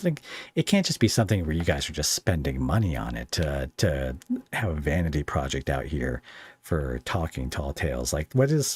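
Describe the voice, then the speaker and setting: A man's voice: deep voice, darkish tone, slightly rough, dry recording. A man hosting a podcast in a casual interview style, talking in a hesitant, rambling way. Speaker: podcast host.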